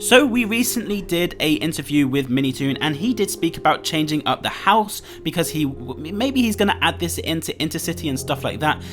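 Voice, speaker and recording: A young man presents a gaming countdown in a very animated, swooping voice. He sounds nasal and stuffed up with a cold as he emphatically addresses his viewers, with music playing underneath. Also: on the high side. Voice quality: nasal, bunged up. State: congested with a cold, sore throat. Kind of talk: upbeat YouTube gaming commentary. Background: background music.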